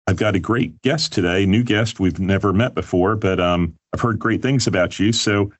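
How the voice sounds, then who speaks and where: Low husky guy hosting a podcast, dry close sound, easygoing. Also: moderate pace, no room sound. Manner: relaxed, welcoming, with fillers. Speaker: podcast host. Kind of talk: podcast interview.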